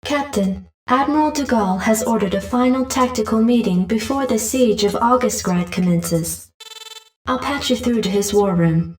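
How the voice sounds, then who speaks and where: A woman's voice: a little high, moderate pace, faint music behind, natural voice. Actress as echoing, businesslike military adjutant woman. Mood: neutral, efficient. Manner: clipped, businesslike. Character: crisp, efficient female military adjutant announcer. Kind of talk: video game announcements. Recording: heavily echoing, distant game audio.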